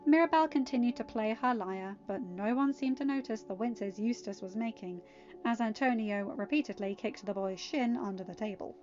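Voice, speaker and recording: A woman with a fairly high voice narrates as a podfic reader. Faint music runs behind her, and the recording is slightly dull. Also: moderate pace, darkish tone. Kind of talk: scripted story narration.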